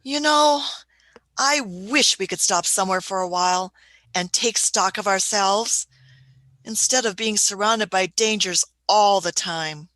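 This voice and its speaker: A woman's voice: mid-range voice, a little slow, a bit bright, dry recording, close to an everyday voice, low-quality recording. A woman acting a kindly, absent-minded elderly time-travelling Doctor in a radio play, with hesitant, old-fashioned, fussing phrases. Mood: fussy, kindly.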